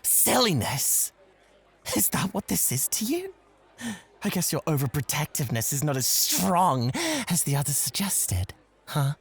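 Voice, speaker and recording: A man's voice: on the high side, big pitch swings, a little slow, gravelly, slightly rough, full character voice. A man playing a sly, manipulative club host and jealous suitor in an ASMR roleplay, teasing and flirtatious with theatrical emphasis. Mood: taunting, seductive.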